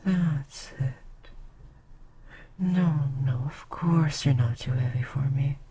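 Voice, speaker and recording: ASMR roleplay: ancient, motherly succubus queen voiced by a woman, slow and breathy, dark mellow tone. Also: a bass voice, slight background noise. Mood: soothing. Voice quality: breathy whisper. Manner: soft, slow, often whispering.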